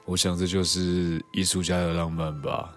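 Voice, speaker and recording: A man's voice: deep voice, flat delivery, moderate pace, faint music behind. A man giving a short prepared message of introduction and praise. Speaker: model leaving a recorded message.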